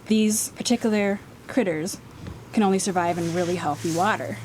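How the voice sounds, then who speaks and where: A woman's voice: medium pitch, moderate pace, a little mellow, low-quality recording. A young woman, a water quality specialist, explaining things outdoors beside a creek in an eager, explanatory way. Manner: explanatory, enthusiastic, laughs lightly. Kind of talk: field interview explanation. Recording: outdoor field recording with noise. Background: outdoor ambience.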